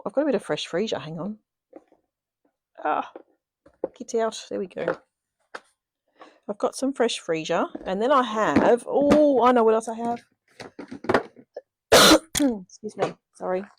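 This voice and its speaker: A woman's voice: on the high side, lively intonation, measured pace, long pauses, dry recording. A woman hosting a live card-making stream, chatting and thinking aloud as she works, a bit sniffly from a cold. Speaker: craft demonstrator, live stream host. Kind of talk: casual live crafting chat.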